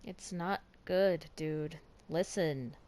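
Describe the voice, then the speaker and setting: A woman's voice: deep voice, slow pace, dark tone. A woman streaming her reaction to a game story, with casual, informal, slangy commentary. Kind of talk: casual live gameplay commentary.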